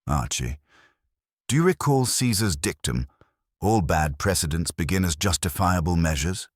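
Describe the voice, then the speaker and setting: A man's voice: sits low, animated, moderate pace, no echo. A man voicing a brooding, imperious, erudite male detective in an audiobook, with dry, formal, pedantic diction. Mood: pondering. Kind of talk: audiobook character dialogue.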